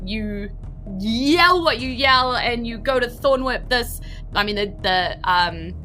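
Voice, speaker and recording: A woman's voice: on the high side, expressive, slow, pushed and bright. A woman with a New Zealand accent improvising narration as game master of a tabletop role-playing game.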